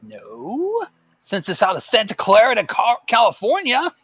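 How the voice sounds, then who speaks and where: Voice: mid-range voice, wildly swooping pitch, unhurried, a bit bright, breathy edge, no room sound, muffled recording. A person of unclear sex chatting casually on a livestream in a blunt, sweary way. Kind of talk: casual chat. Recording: music playing behind the voice. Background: music.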